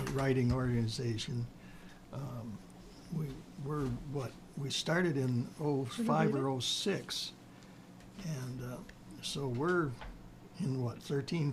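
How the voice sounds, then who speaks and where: Guy hosting a writers panel, super slow, rambling with lotsa ums, noisy room. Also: medium pitch. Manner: slow, rambling, heavy with fillers. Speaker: host. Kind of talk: casual panel discussion.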